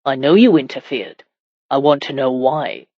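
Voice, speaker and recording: A medieval warrior husband from a romance audiobook as a female narrator puts him on. The voice is low, firm and measured, with exasperated authority and a protective streak. The sound is very dry and a bit muffled. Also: dry recording. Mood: stern.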